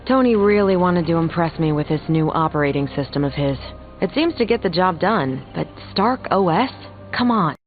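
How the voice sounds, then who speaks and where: A laid-back, wry young heroine from a video game, voiced by a woman, with a very low voice and casual talk. The sound is thin and muffled over faint music. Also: expressive, normal speed, close to an everyday voice. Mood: wry, relaxed. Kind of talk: video game dialogue acting.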